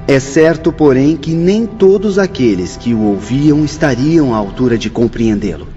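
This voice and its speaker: An actor playing a learned, solemn spirit teacher in a radio drama, speaking in a measured, declamatory way. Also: male voice, medium pitch, expressive, warm tone, natural voice. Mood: solemn, instructive. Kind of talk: radio drama acting, oratorical. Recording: slightly muffled, music underneath.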